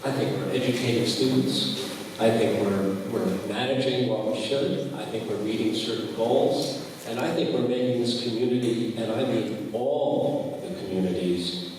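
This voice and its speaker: A man's voice: on the low side, moderate pace, darkish tone. A man making folksy, off-the-cuff closing remarks as a school board candidate at a public forum. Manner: plain-spoken, folksy, repetitive phrasing. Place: large echoey room at a public forum. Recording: echoey hall from far off, clear noise.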